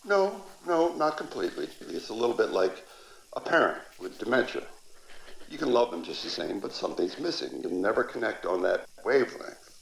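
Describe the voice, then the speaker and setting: An actor playing a reflective, coolly philosophical man in an audio drama, speaking in a measured, musing way. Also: lowish voice, very animated, vocal fry, slight background noise. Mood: musing, detached. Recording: echoey room.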